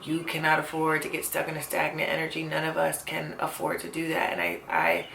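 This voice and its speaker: Low, gravelly-voiced young woman, candid and earnest. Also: a little fast, fairly bright tone, echoey room, a little hiss or hum. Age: 20s. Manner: earnest, open and reflective, with frequent "like" and "you know". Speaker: vlogger. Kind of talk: candid personal talk to camera. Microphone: camera mic.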